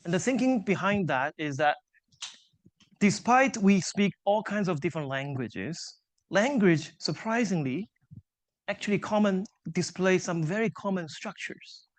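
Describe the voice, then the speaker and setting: A man whose first language is Chinese delivers a seminar lecture in a somewhat high, engaged voice. He explains patiently, pauses to check in with the audience, and lightens things with small jokes. The room holds a live audience. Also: lively intonation. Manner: explanatory, self-deprecating humor, frequent 'so-called' and 'right?'. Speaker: invited seminar speaker, engineering professor.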